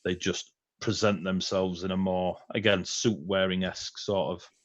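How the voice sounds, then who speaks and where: A man's voice: deep voice, level tone, normal speed, no echo, lo-fi sound. A man running a tabletop game as game master, chatty and rambling, with fillers and laughs.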